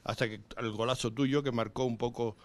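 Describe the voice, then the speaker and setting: A man's voice: mid-range voice, fairly even intonation, fairly quick. A man, a reporter asking a long, hesitant question with repeated words.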